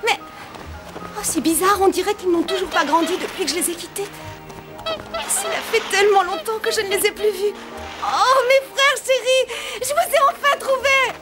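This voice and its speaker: A woman's voice: very high-pitched, expressive, moderate pace, loud music behind the voice. A woman playing a sweet, earnest young princess in a cartoon fairy tale, polite and emotional. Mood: hopeful, joyful. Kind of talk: animated fairy tale acting.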